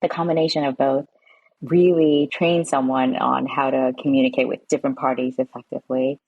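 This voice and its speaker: A woman's voice: a bass voice, level tone, brisk, no room sound, phone-quality sound. A woman from San Francisco, a podcast guest, explaining her work in a casual, loose way with plenty of "kinda" and "like". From San Francisco, USA. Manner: explanatory, uses fillers like "kinda" and "like". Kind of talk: casual interview answers. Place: home or office, evening.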